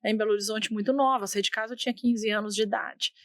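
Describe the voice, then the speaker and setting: A woman's voice: medium pitch, fairly even intonation, fast talker, fairly bright tone, no room sound, studio quality. A middle-aged woman with a Minas Gerais accent, a guest telling her story in an interview, earnest and often restarting her words. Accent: Minas Gerais Portuguese. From Minas Gerais, Brazil. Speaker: guest, healthcare executive. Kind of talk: reflective interview conversation.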